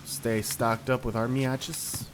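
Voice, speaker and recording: A man's voice: mid-range voice, level tone, takes it slowly, background noise. A young man hosting a horror let's play, reading game text aloud with wry asides. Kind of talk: casual gameplay commentary.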